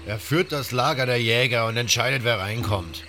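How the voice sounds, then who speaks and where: A man voicing a gruff, suspicious bandit sentry in a fantasy audio story, with blunt, coarse talk. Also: mid-range voice, moderate pace, background noise, lightly acted. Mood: grudging, griping. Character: gruff, suspicious, griping bandit sentry guarding a swamp outpost.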